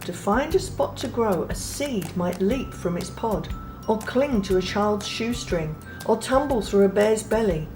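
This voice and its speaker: A woman reading a children's picture book aloud in a gentle, unhurried way, pausing softly between phrases. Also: mid-range voice, animated, poor audio. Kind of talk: read-aloud storybook narration. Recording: read over clear background music.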